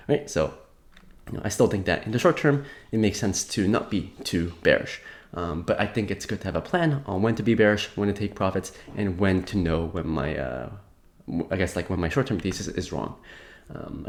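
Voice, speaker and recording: Low-voiced young man, rambling. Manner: rambling, heavy fillers and restarts. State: slightly sick. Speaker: crypto market commentator. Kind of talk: casual market update monologue.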